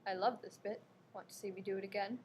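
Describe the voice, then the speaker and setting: Smug talking cat acted by a woman: cruelly playful, lofty, mid-pitched, staged reading with faint background noise. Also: fairly even intonation, close to an everyday voice. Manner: drawn-out, lofty, philosophizing. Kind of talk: readers theater performance.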